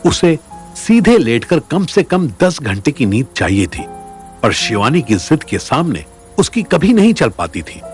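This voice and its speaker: A man narrating an audio story in a dramatic, scripted style. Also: medium pitch.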